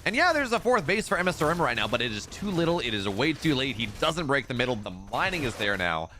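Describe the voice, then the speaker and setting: A man casting a live strategy game match, excitable in the fights and relaxed and rambling when he chats with viewers. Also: medium pitch, fast, slight background noise. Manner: excitable play-by-play, rising in big fights, relaxed and rambling between games. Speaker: esports caster. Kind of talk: live game commentary and chat reading.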